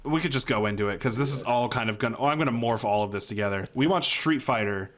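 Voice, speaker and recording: Guy in his 30s on a movie podcast, normal pitch, chatty, swears a bit. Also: quick pace, crowd noise. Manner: swears casually. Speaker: podcast co-host. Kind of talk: casual film and TV chat. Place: small home room, remote call.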